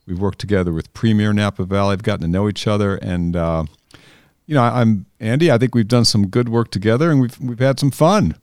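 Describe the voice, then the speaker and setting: A man's voice: on the low side, fairly quick, darkish tone, clean tone, no room sound, studio quality. A man from the Chicago area hosting a wine podcast, friendly and joking as he chats with a guest. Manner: friendly, upbeat, jokey. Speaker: podcast host and vintner. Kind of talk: casual interview chat.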